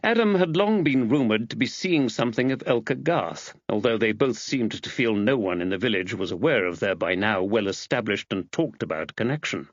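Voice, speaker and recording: A man's voice: fairly low pitch, lively intonation, fairly quick, few pauses, no room sound, lo-fi sound. A man reading a mystery novel aloud as scripted audiobook narration.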